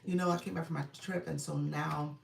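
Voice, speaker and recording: A woman's voice: sits low, fairly even intonation, brisk, vocal fry, distant mic, slight background noise. A middle-aged woman with a congested voice, chatting casually on a live stream, greeting viewers by name in an upbeat way. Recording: roomy sound, from off the mic.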